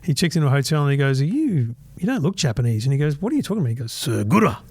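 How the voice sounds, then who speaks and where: A man in his fifties co-hosting a podcast, bantering quickly and mimicking people in his anecdotes. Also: on the high side, very animated, a little mellow, slightly rough, no room sound. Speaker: podcast co-host. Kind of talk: casual chat.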